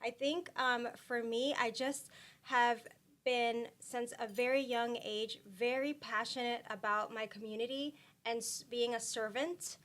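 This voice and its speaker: A woman from Puerto Rico talking as a guest in a casual interview, soft-spoken with many fillers and restarts. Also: a little high, fairly even intonation, a little slow.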